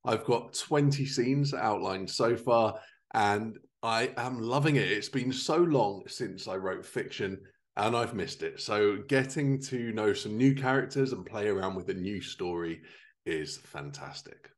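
A man's voice: on the low side, unhurried. A man in his thirties presenting a podcast in an upbeat, enthusiastic, scripted style. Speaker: podcast host. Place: living room at home.

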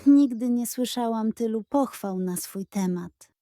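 A woman voicing a proud, gullible, excitable hen who clucks eagerly in a children's fairy tale. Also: on the high side, a little slow, clean tone, no echo, clean studio sound, close to an everyday voice. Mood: proud, delighted.

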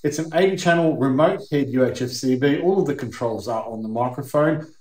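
Australian man, middle-aged, medium pitch, conversational explainer, emphatic teaching delivery, echoing workshop. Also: a little fast, darkish tone. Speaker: host. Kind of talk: explanatory video to camera. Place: workshop or garage, indoors. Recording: roomy, echoing indoor sound.

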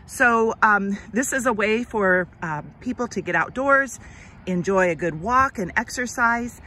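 A woman hosting an outdoor read-aloud for children, speaking to them with deliberate, expressive enunciation. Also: mid-range voice. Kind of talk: children's story read-aloud and friendly outdoor talk to kids. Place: outdoors on a grassy trail. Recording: outdoor ambient noise.

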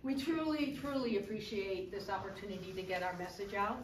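Lively woman in a roomy hall. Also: mid-range voice, fairly quick, a little hiss or hum. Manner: lively, animated storytelling reading. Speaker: retired librarian speaker. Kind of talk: public talk reading aloud. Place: large community hall, indoors. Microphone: far camera. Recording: roomy hall recorded from far off.

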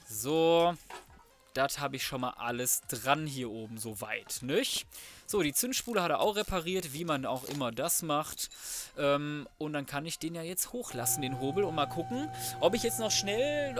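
A man giving casual, slangy gameplay commentary as a let's player, thinking aloud to himself. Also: on the high side. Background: background music.

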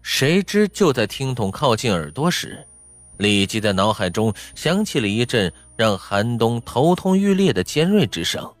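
A man's voice: medium pitch, sing-song, normal speed. A man narrating fiction from a script as a dramatic audiobook. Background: faint background music.

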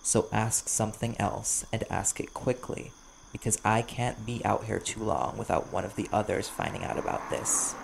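A man voicing a wary, desperate vampire bounty hunter in an audio roleplay, blunt and guarded, with a gravelly voice. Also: lowish voice, moderate pace. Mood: cautious, urgent. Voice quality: gravelly, creaky. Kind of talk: ASMR audio roleplay acting. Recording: clear background noise, chirping crickets.

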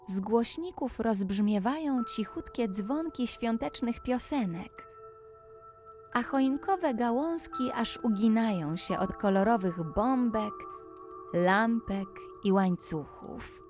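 A woman narrating a scripted children's bedtime story, speaking deliberately and addressing the young listener directly. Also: on the high side, lively intonation, darkish tone. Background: music.

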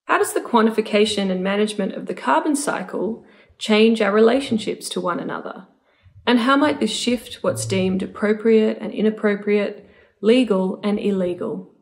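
A woman's voice: mid-range voice, moderate pace. A woman presenting an art project in scripted, explanatory narration.

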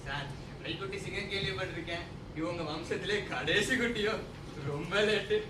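Actor as a cheeky, high-voiced bank man, echoey. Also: a little fast, lightly acted. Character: cheeky, put-upon male bank employee. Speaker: stage actor. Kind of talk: comic stage play acting. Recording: distant mics, echoey hall, noisy.

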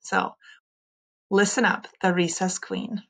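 A woman in her thirties reading a children's story aloud like a teacher, expressively, with dramatic drawn-out emphasis on key words. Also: medium pitch, flat delivery, gravelly, a bit bright. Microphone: computer mic on a screen-share video recording.

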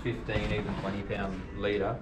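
A man, giving relaxed, practical advice as shop staff inside a tackle shop, filmed from a distant camera. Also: on the low side, moderate pace. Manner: relaxed, practical, frequent ums. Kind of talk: casual product advice. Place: indoor fishing tackle shop. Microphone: far camera. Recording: roomy shop with loud noise.

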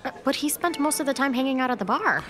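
A woman playing an excitable, wisecracking sister in a romantic comedy audio drama, breezy and exclamatory. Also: high-pitched, fast talker, faint music behind. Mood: astonished. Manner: breezy, exclamatory, comic asides. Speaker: actress in a podcast drama.

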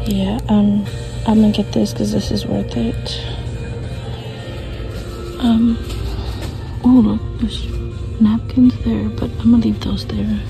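A woman filming herself shopping on her phone, narrating finds in a hushed, half-whispered voice as she walks the aisles. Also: medium pitch, level tone, slow pace, creaky, long pauses, music in the background, rough recording. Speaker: shopping vlogger. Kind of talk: casual shop-along narration. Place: inside a discount store aisle. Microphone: phone held in hand.

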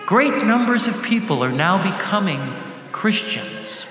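Older male preacher, high voice, unhurried deliberate phrasing, dramatic pauses, sermon delivery, reverberant church. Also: sing-song, pushed and bright, soft background music. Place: large church sanctuary, at the lectern. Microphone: gooseneck lectern microphone. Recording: echoey church hall with faint background noise.

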